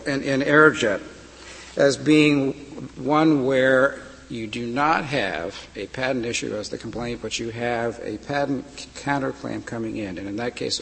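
A man with a soft voice arguing politely and carefully as a lawyer before a high court. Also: gravelly. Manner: polite, deferential, measured. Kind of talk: formal courtroom argument. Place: large courtroom. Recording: reverberant archival courtroom recording with faint noise.

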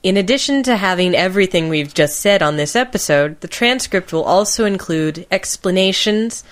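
A woman's voice: mid-range voice, expressive, normal speed. A woman co-hosting a podcast in casual conversation, with a playful, teasing way of talking. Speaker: podcast co-host. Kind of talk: casual co-hosted chat.

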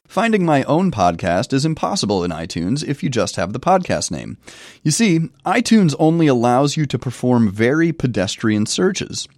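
A middle-aged man with a cold hosts a solo podcast, wry and self-deprecating. His pitch rises and falls a lot as he explains. The sound is close and dry, with no room around it. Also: no room sound. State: has a cold. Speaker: podcast host and professor. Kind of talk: solo review podcast monologue.

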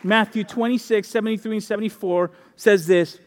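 High-voiced man preaching, from the Dominican Republic, animated and conversational, repeats himself, long hall reverb. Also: a little fast, some chatter behind. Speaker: pastor. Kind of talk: sermon.

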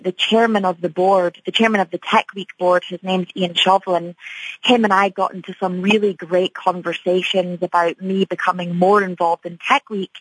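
Seorang perempuan beraksen Skotlandia berbicara ceria dan santai dalam wawancara radio, dengan banyak jeda "um" dan "uh". Suaranya kering dan dekat, tanpa gema ruangan. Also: female voice, lowish voice, dry recording, rough recording. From Scotland. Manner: upbeat, chatty, many fillers. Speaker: tech company CEO, guest. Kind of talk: radio interview answers.

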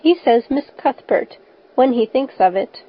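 A woman's voice: high-pitched, lively intonation, breathy edge, heavily acted. Woman playing a stern, no-nonsense older guardian woman. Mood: firm. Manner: firm, brisk, matter-of-fact. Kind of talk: audiobook character voice. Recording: slightly dull lo-fi recording.

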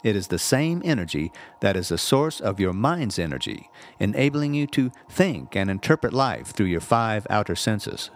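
A man reading a scripted spiritual text aloud in a measured, deliberate, instructive way. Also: on the low side, big pitch swings. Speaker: audiobook-style reader, reverend.

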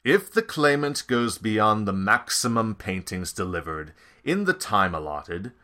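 A man doing a slow, deep-voiced goon. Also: sing-song, pushed and bright, exaggerated voice. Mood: menacing. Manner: slow, measured, coldly menacing.

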